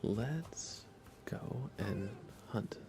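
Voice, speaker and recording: A soft-spoken man rambling through relaxed ASMR live gaming commentary, musing aloud hesitantly and often slipping into a whisper. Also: on the low side, very slow. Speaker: livestream game streamer.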